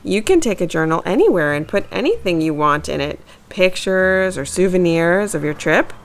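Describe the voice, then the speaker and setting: A woman's voice: fairly low pitch, lively intonation, normal speed. A woman voicing a timid, studious young male mouse in a storybook, speaking in an earnest, hesitant way. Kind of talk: storybook character voice.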